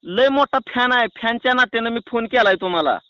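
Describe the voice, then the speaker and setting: A man with a high, forceful voice and a rural Maharashtrian accent talks on a prank call. He rambles and protests cheekily as he argues. The sound is close and dry but muffled, like a lo-fi call recording. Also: lively intonation, quick pace, clean tone, no room sound. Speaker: prank caller. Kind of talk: bantering phone argument. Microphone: phone call.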